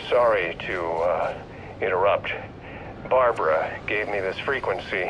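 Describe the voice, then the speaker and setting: An actor playing a weary, dutiful police officer in a video game, speaking hesitantly and matter-of-factly over a radio. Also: male voice, deep voice, measured pace. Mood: hesitant, concerned. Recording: dull radio transmission with loud noise.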